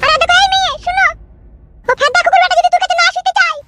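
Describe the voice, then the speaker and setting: A woman voicing a shrill, sarcastic, foul-mouthed village wife in a comic cartoon. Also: very fast. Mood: furious, threatening. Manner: scolding, sarcastic.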